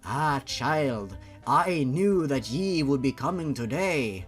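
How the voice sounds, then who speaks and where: Mystical, welcoming female priestess, played by a man, heavy stereotyped accent, animated storybook delivery. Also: mid-range voice, slow pace, faint music behind. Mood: knowing, welcoming. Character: warm, knowing young voodoo priestess with stereotyped accent. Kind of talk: storybook character reading.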